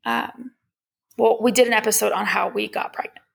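Creaky-voiced young woman, slightly high and husky. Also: steady tone, normal speed. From Iran. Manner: candid, reflective, conversational. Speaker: podcast host. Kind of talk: casual personal monologue.

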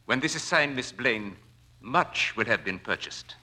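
Casting: suspect society doctor. Very bright, keen voice with swooping pitch, formal, commanding, 1940s radio manner. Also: male voice, lively intonation, faint music behind, heavily acted. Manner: formal, measured, persuasive, commanding. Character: smooth, domineering doctor, suspected charlatan. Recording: vintage radio drama recording.

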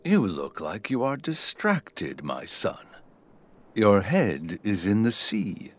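An actor playing a kindly, wise old man in a children's folktale, speaking in a measured, fatherly way. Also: on the low side, big pitch swings, exaggerated voice. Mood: wise, reassuring. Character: kindly hunched old man, wise magical gift-giver. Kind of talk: children's audio folktale drama.

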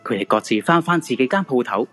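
A man's voice: mid-range voice, lively intonation, fast, a bit bright. A male radio drama narrator speaks Hong Kong Cantonese in a medium register. He tells the scripted story dramatically over faint music.

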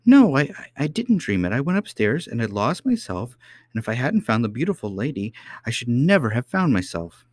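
A man's voice: medium pitch, sing-song, fairly quick, a little mellow, no echo, studio quality, voice pushed up. A man voicing an earnest, indignant little princess in a storybook, eager and insistent in a childlike way.

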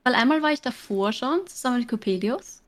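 A woman's voice: fairly high pitch, lively intonation, brisk. A woman with an Austrian accent, a guest streamer chatting casually on a podcast and explaining things with many fillers.

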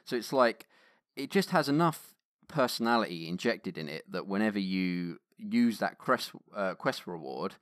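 A man's voice: on the low side, animated, normal speed, few pauses, fairly bright tone, dry recording, studio quality. A man from England, chatting casually as a podcast host about tabletop games.

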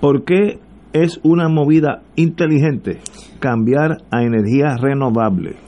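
A man's voice: mid-range voice, fairly even intonation, a little slow, warm tone. A man hosting a radio interview, upbeat and asking short questions. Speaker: radio host.

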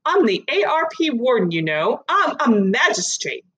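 A woman voicing a frantic, cornered middle-aged woman in a storybook reading, high and breathless as she protests. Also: very animated, moderate pace, a bit bright, lo-fi sound. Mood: panicky.

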